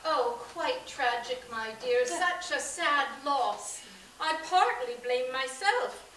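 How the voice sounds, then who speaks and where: A woman's voice: high-pitched, expressive, takes it slowly, a bit bright, a little hiss or hum, heavily acted. A woman playing an older spinster sleuth who is sweet, fussy and prying, recorded far from the mic in a very echoey room. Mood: genteel. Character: gentle, nosy old spinster sleuth.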